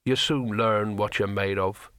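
A man voicing a huge sea troll in an audiobook story, speaking slowly and heavily, weary and menacing. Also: fairly low pitch, fairly even intonation, a bit bright, dry recording, studio quality. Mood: slow, melancholy. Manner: slow, deliberate, heavy delivery.

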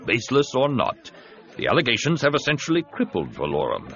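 A man voicing a big, scheming alien senator in an audiobook, with measured, persuasive delivery. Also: medium pitch, huge pitch swings, gravelly, a bit bright, muffled recording, pitched above the performer's own voice, full character voice. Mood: soothing. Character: scheming, soothing, heavyset male alien senator and host.

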